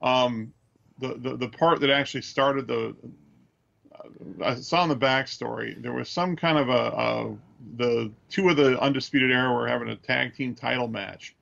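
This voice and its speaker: An American man co-hosting a podcast over a dull remote connection, chatting casually and analytically, halting as he thinks aloud. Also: mid-range voice, creaky, fairly bright tone. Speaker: podcast co-host. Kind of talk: casual chat, measured analysis.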